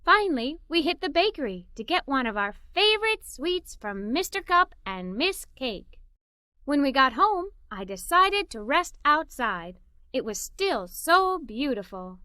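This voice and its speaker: A woman reading a children's story aloud in the first person as a cheerful, imaginative little girl, with an expressive, playful delivery. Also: high voice, a little slow, dry recording, clean studio sound.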